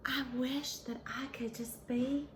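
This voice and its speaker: Woman playing a high-pitched, excitable dreamy pony. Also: slow, slight background noise. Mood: wistful, forlorn. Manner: gushing, dramatic, folksy, addresses listeners as y'all. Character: excitable, vain, dreamy female pony who longs to be a unicorn. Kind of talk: animated first-person storytelling for children.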